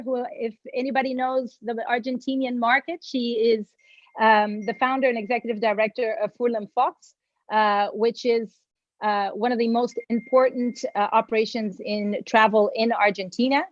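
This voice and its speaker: A woman with a somewhat high voice opens a virtual panel, effusive and gracious, thanking everyone as she goes. She speaks from a video call, and the sound is dry and a little dull. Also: steady tone, moderate pace, dry recording. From Mexico. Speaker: panel moderator and agency founder. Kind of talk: opening remarks for a virtual panel.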